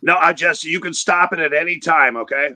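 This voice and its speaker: A man's voice: a little high, steady tone, brisk, pushed and bright, no echo. A man chatting casually on a panel.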